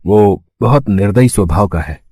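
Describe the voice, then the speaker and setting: A man voicing a kind-hearted, poor village servant in a moral cartoon, gentle and earnest. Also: lowish voice, lively intonation, normal speed, slightly rough, dry recording, studio quality. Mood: hopeful. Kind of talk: Hindi moral cartoon dialogue.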